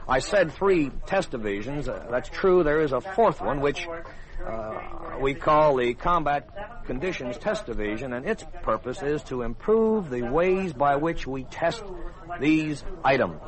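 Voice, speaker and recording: A middle-aged American man, an army officer, gives formal, measured explanations in an interview. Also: medium pitch, lively intonation, rough recording. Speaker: army colonel, head of a test board, interviewee. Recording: outdoor demonstration rehearsal noise behind, crowd. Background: military demonstration rehearsal, crowd sounds.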